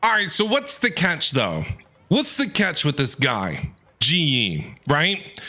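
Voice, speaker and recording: A man's voice: fairly high pitch, wildly swooping pitch, takes it slowly, pushed and bright. A man giving casual, joking live game commentary, repeating himself and talking back to the characters. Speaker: game streamer. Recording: faint game music behind the voice.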